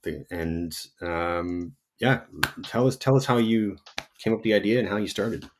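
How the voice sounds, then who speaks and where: A man's voice: fairly low pitch, moderate pace. A man hosting a podcast in a laid-back, upbeat way, introducing and chatting with his guest. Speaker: podcast host. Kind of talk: casual podcast interview.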